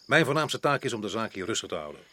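An actor playing a stubborn, self-assured colonial official in a radio play, with a dismissive, opinionated manner. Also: male voice, lowish voice, big pitch swings, quick pace, sharp tone, heavily acted. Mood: firm, self-assured. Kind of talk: radio drama acting in Dutch. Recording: noisy old radio play recording.

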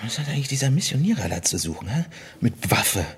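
An actor playing a gruff, distrustful injured undercover policeman, blunt and probing, in radio drama dialogue. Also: mid-range voice, very animated, fast, slight background noise, close to an everyday voice. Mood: irritated, suspicious.

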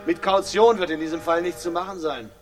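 A man's voice: sits high, steady tone, fairly quick, natural voice. An actor playing a grimly ironic police inspector in a radio crime drama, mock-jovial and hesitant, repeating himself as he needles. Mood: slyly needling. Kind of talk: 1960s German radio crime drama acting.

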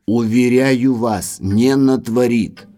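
A man acts an earnest servant in an audio drama. He speaks at a middle pitch, with formal deference to his master, and implores him respectfully. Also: steady tone, takes it slowly, mellow, lightly acted. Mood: earnest pleading.

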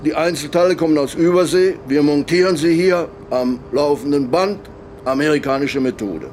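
A man's voice: on the high side, moderate pace, darkish tone, a little hiss or hum, natural voice. A man playing a brusque, impatient factory shift foreman in a radio play, curt and matter-of-fact. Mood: businesslike, impatient. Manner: curt, matter-of-fact, barking when annoyed. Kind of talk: radio drama dialogue.

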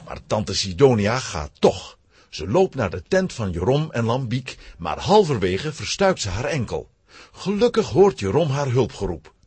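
A man narrating a children's adventure story in a scripted, dramatic, expressive style with a husky voice. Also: medium pitch, a little slow, dry recording.

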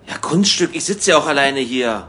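A man's voice: medium pitch, expressive, normal speed, a bit bright, natural voice. A man playing a restless, irritable emigrant in a hotel room, in conversational radio play dialogue. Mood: defensive. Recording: clear background ambience noise.

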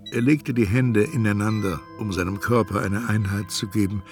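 An actor playing a reflective, uneasy narrator, telling his tale in measured literary radio play narration. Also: lowish voice, faint music behind, close to an everyday voice. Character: reflective male first-person narrator, uneasy and self-observing.

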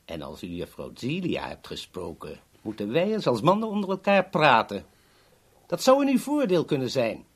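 An actor in a 1970s radio play voicing a polite, formal boss who is coolly threatening. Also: male voice, mid-range voice, huge pitch swings, normal speed. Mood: smooth menace. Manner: formal, courteous, smoothly threatening.

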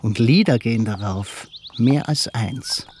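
An actor playing a brash, crude young manservant who wheedles and boasts in a radio play. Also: male voice, mid-range voice, sing-song, unhurried, darkish tone, slight background noise. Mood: leering.

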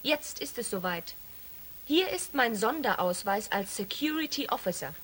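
An actress playing an officious security officer in a science fiction radio play, formal and insistent. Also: female voice, on the high side, animated, moderate pace, a bit bright, breathy edge. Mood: official, insistent. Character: officious female security officer aboard a spaceship.

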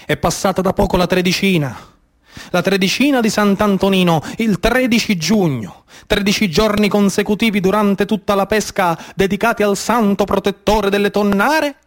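A Sicilian man delivers a theatrical monologue in a strikingly high-pitched voice. He tells it like an incantation, in rhythmic, repeating phrases. His accent and dialect are strongly Sicilian. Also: normal speed. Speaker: theatre storyteller and actor.

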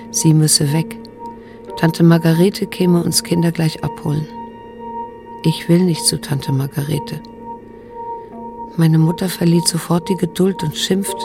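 An actress playing a wounded, restrained middle-aged daughter who narrates in a radio drama, measured and introspective. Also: female voice, low voice, gravelly. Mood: sober recollection.

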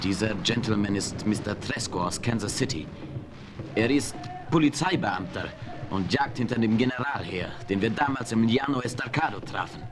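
An actor playing an earnest Comanche chief in a radio play, reporting events plainly. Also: male voice, lowish voice, expressive, normal speed, noisy background, close to an everyday voice. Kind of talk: 1970s radio play acting.

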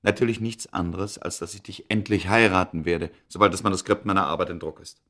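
A man's voice: low-pitched, steady tone, brisk, a bit bright. A man acting in a German radio play as a self-absorbed, stubborn scholar, boastful yet anxious, with a brusque, hesitant delivery. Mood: jealous, boastful. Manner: hesitant filler-laden speech, brusque, interrupting.

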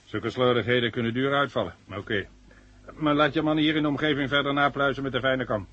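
Husky, sarcastic police inspector. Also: male voice, medium pitch, fast, fairly bright tone, muffled recording. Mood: stern. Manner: sarcastic, reproving, commanding. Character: stern, sarcastic male police inspector, irritable superior. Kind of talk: 1970 radio drama acting.

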